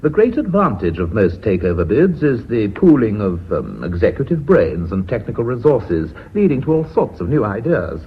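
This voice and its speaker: Urbane, low-voiced British company director. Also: male voice, huge pitch swings. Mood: firm, resolute. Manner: measured, authoritative, formal. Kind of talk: British radio drama acting. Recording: muffled, hissy old radio recording.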